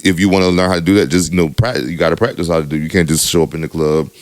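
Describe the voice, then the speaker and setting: A young adult man with a very deep voice, co-hosting a podcast in casual chat, rambling with lots of fillers. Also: steady tone, very fast, no room sound. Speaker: podcast co-host, DJ.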